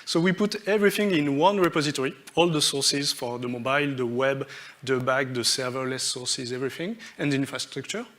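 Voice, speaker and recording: A man giving a conference talk on stage, halting with many fillers and restarts as he lists his points. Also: mid-range voice, fairly bright tone. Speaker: conference speaker.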